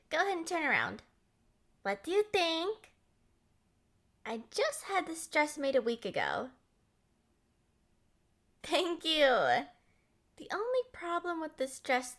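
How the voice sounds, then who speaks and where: A young woman acting a playful, headstrong, lovestruck princess in an expressive roleplay audio. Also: high-pitched, takes it slowly, long pauses, exaggerated voice. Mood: coy, pleased. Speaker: voice actor.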